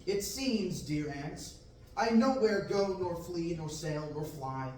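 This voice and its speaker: A man's voice: a little high, lively intonation, takes it slowly, a little mellow, a little hiss or hum. A young man reading the part of a restless young farm boy in Shakespearean verse at a student table reading, earnest and declaiming. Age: young adult. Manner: earnest, declaiming, occasional slips. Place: large classroom, indoors. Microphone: far camera. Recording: echoey room, from far off.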